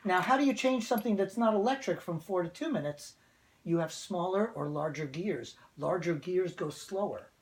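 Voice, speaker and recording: A man presenting antiques in a casual, excited show-and-tell, chatty and quick to giggle. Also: sits high. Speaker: museum presenter showing antiques. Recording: clear background noise, clicking.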